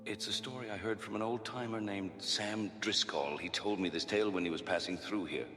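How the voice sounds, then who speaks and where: An actor playing a ghostly male storyteller, solemn and folksy, telling spooky tales in measured theatrical style for an audio drama. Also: fairly low pitch, steady tone, close to an everyday voice. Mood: folksy, inviting. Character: male ghostly storyteller guest in a haunted estate. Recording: reverberant hall with music behind.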